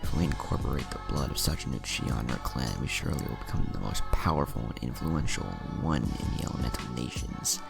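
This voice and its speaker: A man's voice: a bass voice, animated, fast, vocal fry, few pauses, over loud music, exaggerated voice. A man voicing a stern, ambitious old clan elder, solemn and calculating, in a narrated story.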